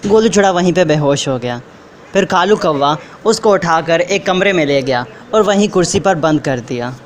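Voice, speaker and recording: A man's voice: fairly high pitch, animated, moderate pace. A man narrating a scripted moral story for children. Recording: faint background noise.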